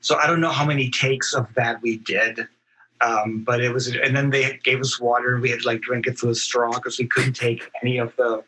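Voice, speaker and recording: A man with a bright, forward voice and a middling pitch, speaking as a guest actor on a podcast. He tells his stories casually and thoughtfully, often pausing to find the next phrase. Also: little pitch movement, rough recording. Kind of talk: casual podcast interview, recounting experiences.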